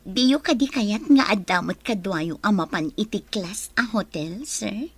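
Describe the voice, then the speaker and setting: A woman's voice: medium pitch, expressive, measured pace, husky, no room sound. A woman with a Filipino accent playing a coy young secretary smitten with her boss in an Ilocano radio comedy drama. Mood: wistful.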